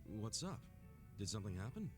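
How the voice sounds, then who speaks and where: An actor playing an earnest, blunt, big-hearted tough-guy hero in a video game, with a gruff, hearty voice. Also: on the low side, very animated, a little fast, loud music behind the voice. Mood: questioning, serious. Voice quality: gruff, gravelly. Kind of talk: video game cutscene acting.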